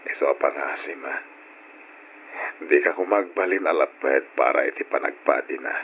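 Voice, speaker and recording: An extremely low voice plays a grave older father. He pleads with solemn authority in the manner of old radio drama. The recording is dull and a little noisy. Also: normal speed. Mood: grave, pleading. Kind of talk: old-style Ilocano radio drama acting.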